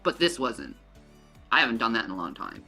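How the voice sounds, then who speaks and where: A woman in her thirties rambles through a casual livestream chat, husky and breathy, with a bright, sharp edge to the voice. Her pitch jumps around a lot, and she swears freely and trails off into low mutters. Faint music plays behind her. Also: very animated, a little fast. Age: 30s. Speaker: livestream subject.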